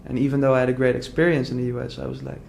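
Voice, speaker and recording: A young man from the Netherlands talks through his story in a loose, earnest interview. His voice sits at a middling pitch and he circles back often, stacking "like" and false starts as he thinks aloud. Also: level tone, racing, gravelly, a little mellow. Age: young adult. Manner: earnest, rambling, many "like" fillers and restarts. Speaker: entrepreneur guest. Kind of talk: casual conversational interview.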